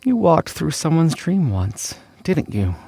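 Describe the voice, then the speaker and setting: A man voicing a gentle mortician who murmurs tenderly to the dead, hushed and halting, as in a dramatic audiobook. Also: on the high side, very animated, gravelly, slightly rough, dry recording, voice pushed up, exaggerated voice. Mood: wistful, tender.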